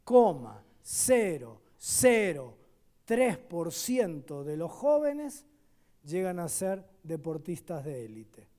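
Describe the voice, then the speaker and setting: A man with an Argentine accent preaching a sermon as a guest pastor at a church service. He swings between loud, emphatic declarations and quiet asides. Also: a little high, very animated, slow pace.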